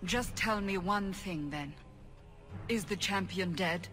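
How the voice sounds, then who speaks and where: An earnest, stern female warrior interrogator in a video game. Her voice is forceful and formal, pressing for answers. It rings with long reverb, over faint music. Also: mid-range voice, takes it slowly. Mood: earnest pleading. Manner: formal, intense, insistent.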